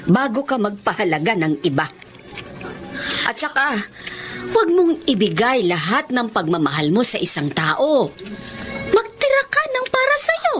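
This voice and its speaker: A woman's voice: a little high, wildly swooping pitch, measured pace, pauses often, fairly bright tone, soft background music, exaggerated voice. An actress playing a caring, protective mother in a radio drama, speaking in an earnest, consoling way. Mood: concerned, consoling. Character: caring, protective mother advising her grieving daughter. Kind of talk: Tagalog radio drama acting.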